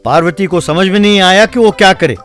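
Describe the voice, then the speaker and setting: A man's voice: sits high, brisk, fairly bright tone. A man narrating a scripted story over background music. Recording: music behind the voice.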